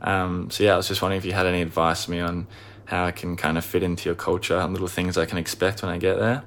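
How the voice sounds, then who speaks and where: A man's voice: deep voice, little pitch movement, quick pace, a bit bright, slight background noise. A man with an Australian accent, sending in a laid-back video question to a podcast, with many fillers. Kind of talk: recorded video message.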